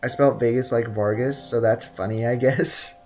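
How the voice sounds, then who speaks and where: A man's voice: mid-range voice, fairly even intonation, moderate pace, a little mellow, soft background music. A man hosting a casual game livestream, commenting and reading chat with blunt, teasing banter. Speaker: livestream host.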